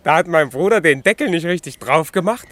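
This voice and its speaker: Lively, higher-voiced cheeky youngster. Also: male voice, a little fast, dry recording. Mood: matter-of-fact. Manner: laconic, colloquial. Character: offhand, cheeky youngster, an electrician's son. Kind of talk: German radio play acting.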